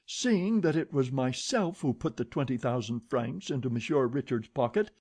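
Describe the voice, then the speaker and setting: A man voicing an indignant old woman who bursts out in shrill protest, in a storybook reading. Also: expressive, moderate pace, a little mellow, slightly rough. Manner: shrill, explosive outbursts.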